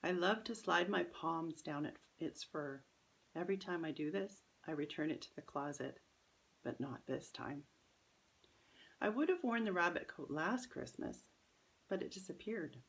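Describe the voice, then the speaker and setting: Low, creaky-voiced woman reading a story. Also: expressive, measured pace, low-quality recording. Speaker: author reading their own children's story. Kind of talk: scripted storybook narration in first person.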